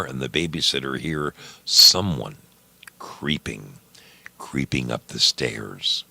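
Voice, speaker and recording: A very deep-voiced man tells a story in slow, deliberate phrases. His gravelly delivery is dramatic and suspenseful. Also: pushed and bright. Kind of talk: dramatic scripted story narration.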